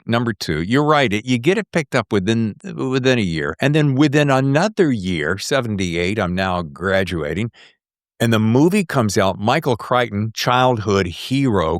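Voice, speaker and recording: A man's voice: lowish voice, lively intonation, normal speed, no room sound, clean studio sound. A man in his sixties hosting a podcast, asking questions in a casual, enthusiastic, dramatizing way. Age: 60s. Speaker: podcast host. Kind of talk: casual, animated interviewing.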